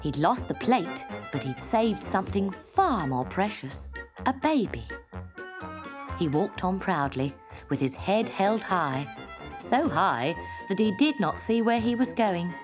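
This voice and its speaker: Animated British woman storyteller. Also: mid-range voice, over music. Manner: expressive, deliberate storytelling. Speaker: storyteller reading a children's story. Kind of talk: scripted children's story narration.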